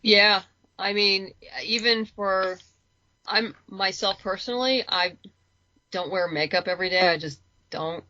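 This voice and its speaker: A woman with a bright, forward voice in casual interview chat. She speaks in halting, chatty bursts. The sound is close and dry, though a little dull. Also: mid-range voice, no echo. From lives in Los Angeles. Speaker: interview guest, writer.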